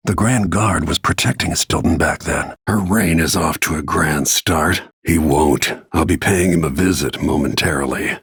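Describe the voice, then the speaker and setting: A weathered male bodyguard turned assassin for a video game. His voice sits low and is full of gravel, with a heavily husky grain. He speaks tersely, with grim, brooding restraint. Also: moderate pace, few pauses, a bit bright, no room sound, studio quality, heavily acted. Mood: grim, controlled.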